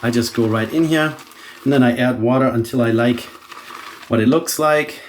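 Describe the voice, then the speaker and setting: A man's voice: mid-range voice, moderate pace, slight background noise. German-accented man chatting through a shave. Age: middle-aged. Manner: relaxed, thinking aloud with frequent restarts. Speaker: shaving vlogger. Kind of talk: casual product demo chat.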